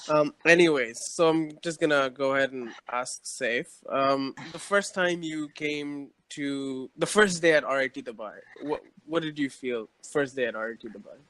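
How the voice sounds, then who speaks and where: A young man hosting a casual podcast, relaxed and joking as he introduces guests and asks questions. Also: mid-range voice, normal speed, few pauses, no room sound. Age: young adult. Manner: relaxed, joking, leads the conversation. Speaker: podcast host. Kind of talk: casual chat.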